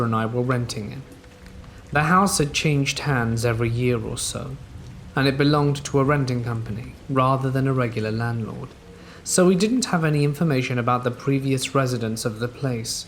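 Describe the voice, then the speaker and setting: A man narrating listener-submitted true scary stories in a steady, even reading. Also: medium pitch, slight background noise. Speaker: horror story narrator.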